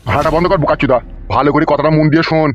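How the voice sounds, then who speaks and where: A man's voice: fairly high pitch, fairly even intonation, very fast, muffled recording, lightly acted. A man voicing a foul-mouthed, bullying police officer in a comedy cartoon, scolding and domineering. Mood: scolding, abusive. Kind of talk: Bengali comedy cartoon dubbing.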